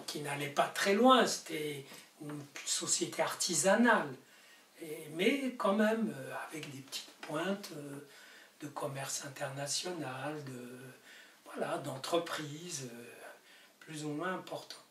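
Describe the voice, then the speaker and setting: A man's voice: on the high side, lively intonation, takes it slowly, fairly bright tone. An older man from Morocco, a native Arabic speaker, explaining his book to camera in a study, talking animatedly with emphatic peaks. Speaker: author. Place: book-lined study indoors. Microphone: camera mic from a distance. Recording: roomy distant camera audio with hiss.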